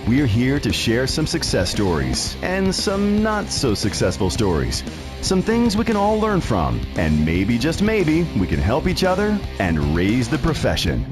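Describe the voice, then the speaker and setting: A man's voice: mid-range voice, wildly swooping pitch. Male announcer over guitar music. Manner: smooth announcer delivery with dramatic pauses. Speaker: show announcer. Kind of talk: scripted intro voice-over.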